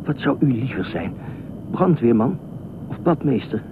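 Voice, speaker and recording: A man's voice: mid-range voice, lively intonation, measured pace, close to an everyday voice. Tinny radio play, male actor as eccentric lifeguard, anxious rambler, swooping intonation, insistent. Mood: pressing, pleading. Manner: rambling, hesitant, repeats himself, insistent. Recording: tinny band-limited radio play, faint noise.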